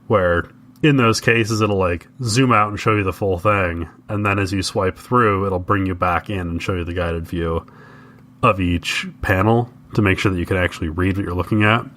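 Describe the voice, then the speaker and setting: Man, lowish pitch, bright forceful tone, podcast co-host, casual explanatory chat. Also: normal speed.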